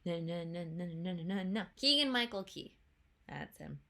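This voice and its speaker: A woman's voice: lowish voice, animated, moderate pace, gravelly, pushed and bright. A young woman with a stuffy nose from a cold, chatting bluntly as a YouTuber reacting to a trailer. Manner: chatty, blunt, swears casually. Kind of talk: casual chat.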